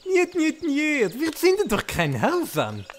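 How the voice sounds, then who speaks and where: A sly genderless witch talks slowly in a high voice that swoops wildly. The foreign accent and haughty, theatrical delivery suit a comic radio play. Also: wildly swooping pitch, slight background noise, full character voice. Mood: alarmed. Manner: slow, theatrical. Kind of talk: comic audio drama acting.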